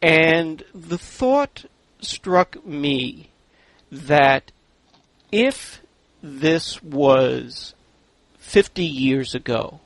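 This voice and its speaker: A man hosting a casual film roundtable, speaking haltingly with wry, drawn-out emphasis. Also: on the high side, expressive, a bit bright, no room sound. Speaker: podcast host.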